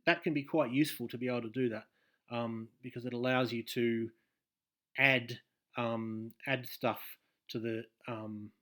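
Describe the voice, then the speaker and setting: This is a man hosting a game development tutorial at his desk, speaking at an ordinary mid pitch. He keeps stopping to restart sentences, fills the gaps with ums and lets his lines trail off quietly. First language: English. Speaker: game dev tutorial host. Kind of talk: screen-share coding tutorial.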